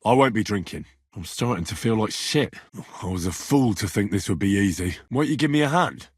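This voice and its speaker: Young male medieval adventurer: husky, dry close sound, earnest and blunt, streetwise, colloquial game dialogue. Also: lowish voice, lively intonation, a little fast, no echo. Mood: casual, direct. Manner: colloquial British phrasing, plain-spoken. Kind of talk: video game dialogue voice acting.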